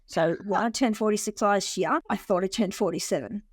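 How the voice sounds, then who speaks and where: A woman in her forties chats as an interview guest, her voice at an ordinary middle pitch. She tells stories with lively energy, laughing and restarting her sentences. The sound is dry and close. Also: brisk, slightly rough, no echo. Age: 40s. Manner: animated storyteller who laughs often and restarts sentences. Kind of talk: casual conversational interview.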